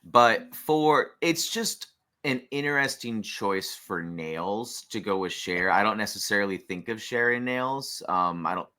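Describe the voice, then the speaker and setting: A man's voice: fairly low pitch, expressive, a little slow, sharp tone. A man co-hosting a podcast, offering casual, opinionated commentary in a conversational way. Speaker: podcast co-host reviewing drag runway looks.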